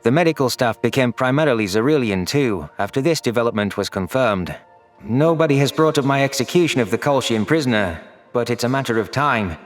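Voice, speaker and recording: A man's voice: medium pitch, lively intonation, fairly quick. A man reading a scripted first-person science fiction story as an audio narrator. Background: faint background music.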